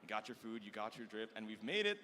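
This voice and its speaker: A man's voice: on the low side, big pitch swings, fast talker, bright, forceful tone, poor audio. A man giving chatty, hyped and fluent live play-by-play at a fighting game tournament. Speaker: esports commentator. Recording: music behind the commentary.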